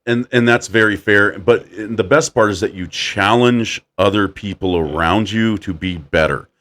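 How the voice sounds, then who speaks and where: A man with a deep voice hosting a casual chat, animated as he tells stories and acts out quotes. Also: unhurried. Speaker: podcast host.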